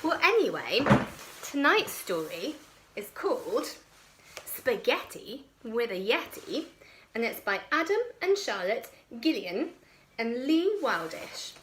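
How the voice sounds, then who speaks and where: A woman reads a picture book aloud with a highly animated, expressive voice. She takes her time, speaking very slowly and deliberately. There is some faint noise behind her. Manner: expressive, slow, deliberate delivery. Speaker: children's storyteller.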